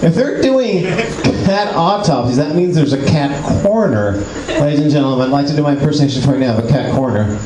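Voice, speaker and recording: A man's voice: a little high, animated, quick pace, a loud crowd. A middle-aged man performing live stand-up comedy in a self-deprecating, mock-exasperated manner. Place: small comedy club stage with audience. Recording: echoey comedy club, loud audience noise. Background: loud audience laughter and noise.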